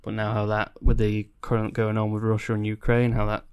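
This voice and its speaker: A man's voice: on the low side, completely flat delivery, fairly quick, a little mellow, clean tone, muffled recording. A man co-hosting a podcast, explaining things in an easygoing way. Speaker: podcast co-host. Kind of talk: casual group chat.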